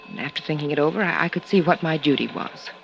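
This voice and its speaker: A man's voice: fairly high pitch, fast, creaky, fairly bright tone. A man voicing a prim, nervous female secretary in a 1950s radio drama, speaking in a hesitant, careful way. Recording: dull old radio recording with hiss.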